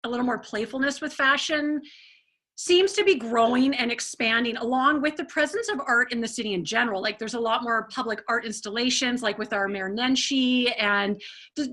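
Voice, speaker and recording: Chatty woman in her 40s hosting a casual interview, bright voice, says like a lot. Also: fairly high pitch. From Canada. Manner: chatty, lots of "like" and "you know". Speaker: interview host.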